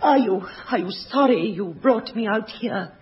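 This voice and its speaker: Swooping, drawn-out posh heroine, overwrought, dull old radio sound. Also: female voice, medium pitch, animated, husky. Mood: frightened. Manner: overwrought, gushing film-heroine delivery. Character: melodramatic posh woman in an old film, frightened, breathless. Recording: dull 1960s radio recording.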